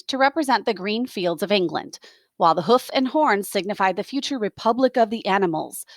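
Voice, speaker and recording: A woman reading a novel aloud as a teacher, expressive, with plain explanatory asides for students. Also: mid-range voice, no room sound, studio quality.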